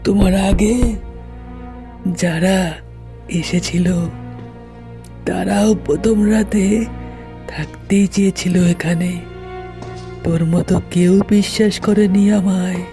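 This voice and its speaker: A man acting as a faceless dead postmaster, calmly menacing in a horror cartoon. He speaks slowly and deliberately with a creaky, gravelly edge, faint music underneath. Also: sits high. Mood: ominous. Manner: deliberate, ominous.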